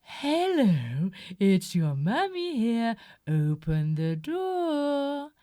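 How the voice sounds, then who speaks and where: A woman voicing a hungry, scheming male wolf in a children's story, speaking in a low, hushed, drawn-out whisper. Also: huge pitch swings, no room sound, studio quality, exaggerated voice. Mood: fake sweet. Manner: drawn-out, greedy and sly.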